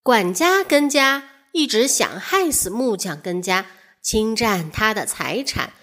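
A woman narrating a children's fairy tale in a measured, expressive storytelling manner. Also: a little high.

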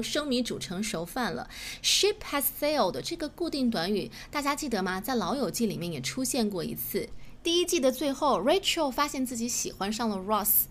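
A woman's voice: a little high, fairly bright tone. A woman whose native language is Mandarin, explaining phrases as the host of a language lesson. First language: Mandarin Chinese. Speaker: English teacher, host. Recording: faint background noise.

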